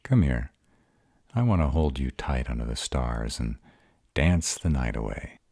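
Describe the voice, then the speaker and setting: A man voicing a bashful, awkward male were-dragon in love, halting and earnest, in a romantic roleplay audio. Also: rumbling low, animated, a little mellow, no echo, clean studio sound, exaggerated voice. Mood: tender.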